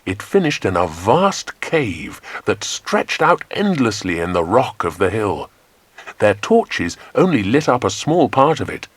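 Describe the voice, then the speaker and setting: A man with an English accent narrating a children's adventure story in a measured, dramatic storytelling manner. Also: fairly low pitch, big pitch swings, breathy edge, no room sound. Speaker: audiobook narrator.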